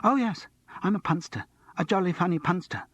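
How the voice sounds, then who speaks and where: A man reading aloud and voicing an agitated, manic young male student who swings between wild laughter and quiet. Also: a little fast, slightly rough. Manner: jittery, laughing, high-strung. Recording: slightly muffled dry recording.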